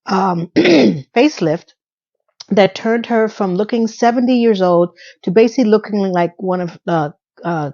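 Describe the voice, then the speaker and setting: A woman's voice: medium pitch, a little mellow, highs cut off. A woman in her fifties giving casual, gossipy commentary with plenty of asides and filler. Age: 50s. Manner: chatty, gossipy, with asides and little sung quips. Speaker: YouTube commentator.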